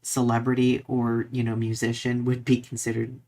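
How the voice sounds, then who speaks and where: A man's voice: mid-range voice, monotone, moderate pace, dark tone. A man co-hosting a live podcast in a casual, conversational way, thinking aloud as he explains things. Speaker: podcast co-host.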